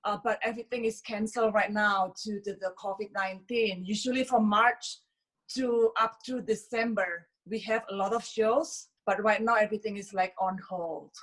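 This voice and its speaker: A woman's voice: mid-range voice, steady tone, moderate pace, fairly bright tone, rough recording. Chatty woman from Indonesia. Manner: chatty, many fillers like "you know" and "like". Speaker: fashion influencer, interview guest. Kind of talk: casual interview answers.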